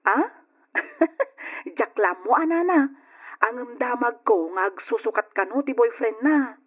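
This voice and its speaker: A woman's voice: a little high, animated, slow, dull audio. An actress playing a gossipy young woman who speaks in a teasing way in an old-style radio drama. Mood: gleeful gossip.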